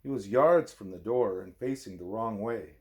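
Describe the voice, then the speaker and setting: A man, a parent, reading a book aloud expressively as a bedtime story, with a wry, deadpan manner. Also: on the low side, normal speed.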